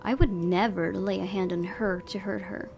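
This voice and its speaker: A woman's voice: mid-range voice, fairly even intonation, moderate pace, gravelly, a little mellow. A woman hosting a gaming video, reading a visual novel's narration aloud and dropping in casual asides. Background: game music.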